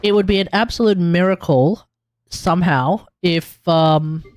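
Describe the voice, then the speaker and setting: An Australian man doing casual livestream commentary, blunt and slangy, rambling as he thinks out loud with emphatic turns. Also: high-pitched, measured pace, no room sound. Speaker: livestreamer, gaming content creator. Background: music in the background.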